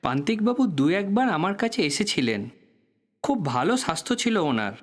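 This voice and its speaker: High-voiced, guarded doctor. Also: male voice, very animated. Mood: guarded, explanatory. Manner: measured, explanatory, professional. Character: male doctor, courteous, guarded, slightly weary professional. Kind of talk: Bengali audio drama acting.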